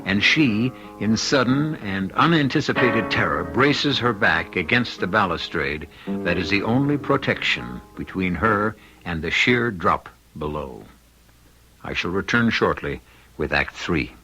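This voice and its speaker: A man narrating a scripted old-time radio drama as its host, in theatrical, formal, deliberate phrasing. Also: low-pitched, expressive, over music, poor audio.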